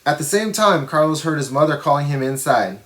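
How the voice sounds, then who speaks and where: A man's voice: a little high, lively intonation, fairly quick, a bit bright, slight background noise. A man reading a children's storybook aloud expressively, with simple explanatory asides for young listeners. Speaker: storybook reader.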